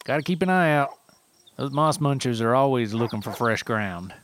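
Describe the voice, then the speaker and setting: A man's voice: medium pitch, expressive, normal speed, fairly bright tone, soft background music, close to an everyday voice. Casting: male narrator as proud, wary backwoodsman, folksy drawl, matter-of-fact, for an audio story. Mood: wary, menacing. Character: proud, wary male Appalachian ginseng forager guarding his mountain.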